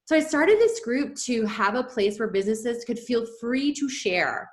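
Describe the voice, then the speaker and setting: A woman's voice: on the high side, animated, moderate pace. A woman hosting a casual interview podcast, upbeat and enthusiastic, laughing easily as she talks. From Canada. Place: home in a small coastal community.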